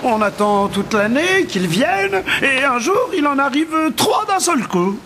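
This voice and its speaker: High-voiced, fussy cartoon king. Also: sing-song, a little slow, gravelly, background noise, full character voice. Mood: soothing, hesitant. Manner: hesitant, dithering, mildly grumbling. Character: fussy, good-natured, hesitant old storybook king. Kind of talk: animated fairy-tale dialogue.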